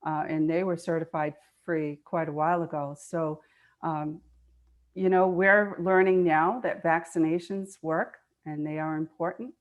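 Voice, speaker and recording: A woman's voice: low-pitched, fairly even intonation, unhurried, lo-fi sound. An older woman speaking conversationally as a guest in an online meeting, explaining things earnestly and repeating words for emphasis. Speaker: guest speaker, nonprofit foundation trustee.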